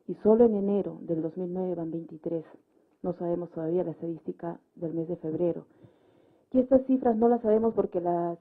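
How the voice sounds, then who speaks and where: Husky low-voiced Peruvian woman, muffled sound. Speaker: panelist at a public debate. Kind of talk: factual public speech citing figures. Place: public debate event. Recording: muffled, lo-fi event recording.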